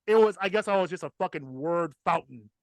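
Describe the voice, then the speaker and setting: A man's voice: high voice, animated, fairly quick, no room sound. A man hosting a podcast, telling a personal story in a casual, profane and emphatic comic style.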